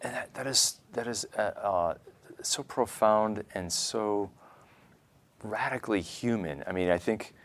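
Low-voiced earnest male host. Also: measured pace. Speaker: show host. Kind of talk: conversational interview talk.

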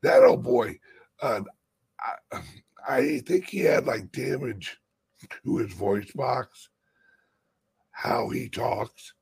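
Raspy, gravelly male host, slow and rambling, lively pitch swings. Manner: emphatic, rambling with restarts. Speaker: crypto show host. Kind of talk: casual political chat.

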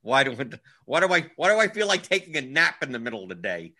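A man's voice: fairly high pitch, sing-song, fast talker, sharp tone. A man in his forties hosting a casual livestream chat, wry and grumbling, often repeating himself. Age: 40s. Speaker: livestream host.

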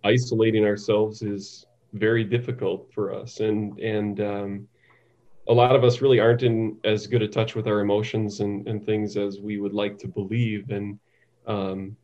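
A man speaking as an interview guest, thoughtful and halting, often repeating words as he talks conversationally. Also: on the low side, dark tone, highs cut off. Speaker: interview guest, psychiatric nurse practitioner.

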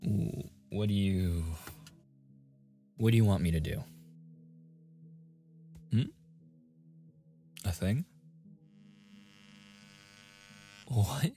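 A man's voice: lowish voice, expressive, takes it slowly, pauses often, mellow, faint music behind. A man playing a playful, easily flustered young gamer boyfriend in a casual, intimate ASMR roleplay. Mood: flustered, reluctant. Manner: casual, intimate, with chuckles and sighs.

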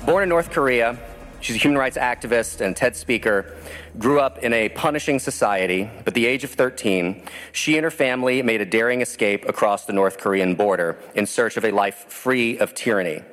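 A man from the American Midwest introducing a guest speaker at a conference, earnest and filling pauses with "uh". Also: medium pitch, fairly bright tone, faint music behind. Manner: earnest, with frequent "uh" fillers. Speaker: event host introducing a speaker. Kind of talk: introduction speech. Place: large conference room. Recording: echoey lecture hall.

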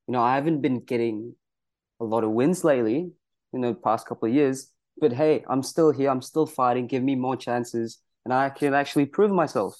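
Young male wrestler from Malaysia, earnest and proud, mid-pitch, filler-heavy casual answers. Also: moderate pace, darkish tone. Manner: earnest, proud, many "you know" fillers. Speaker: pro wrestler guest.

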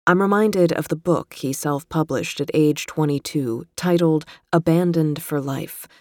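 A woman narrating a long-form magazine feature in a measured, literary way. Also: deep voice, no room sound, clean studio sound. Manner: measured, even, literary delivery. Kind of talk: scripted narration.

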